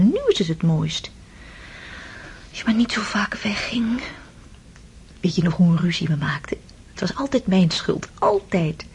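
A woman's voice: fairly low pitch, darkish tone. An actress playing a tender, devoted young wife and mother in a radio drama, with a whispery, intimate delivery. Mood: loving. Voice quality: breathy, whispery.

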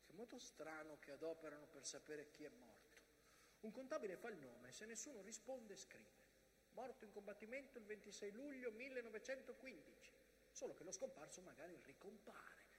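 A fierce, very high-voiced talking hill. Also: male voice, big pitch swings, brisk, a little hiss or hum, rough recording. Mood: wry. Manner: declamatory, intense. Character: fierce, mournful talking hill, chronicler of battle. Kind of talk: theatrical dramatic monologue.

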